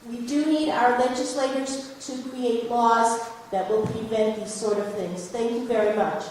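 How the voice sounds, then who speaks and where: Halting woman testifying in a big hall. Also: fairly high pitch, steady tone, very noisy. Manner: halting, reads bill numbers aloud. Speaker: public testimony speaker. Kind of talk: public hearing testimony. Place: large hall, indoors. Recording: echoey hall from far off.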